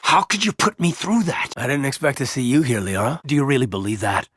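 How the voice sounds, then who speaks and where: Husky earnest space soldier for a video game, forceful, pitch moves around a lot, loyal military type. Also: male voice, sing-song, brisk, dry recording, clean studio sound. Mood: wounded, accusing. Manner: sincere, military, clipped and intense. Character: earnest, loyal, conflicted adult male space marine officer. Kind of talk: video game voice acting, dramatic dialogue.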